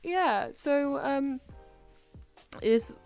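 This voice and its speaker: A young Welsh woman hosting a casual podcast, chatty and halting as she asks questions. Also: high voice, expressive, a little mellow, soft background music. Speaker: podcast host. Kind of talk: casual chat.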